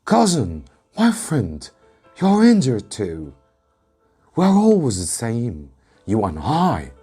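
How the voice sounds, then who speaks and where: A man's voice: medium pitch, wildly swooping pitch, slow pace, mellow, breathy edge, soft background music, pitched above the performer's own voice, heavily acted. A man voicing a weak, friendly king in a storybook, with a raised, strained, earnest delivery. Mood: affectionate. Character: weak, grateful, friendly king recovering in bed.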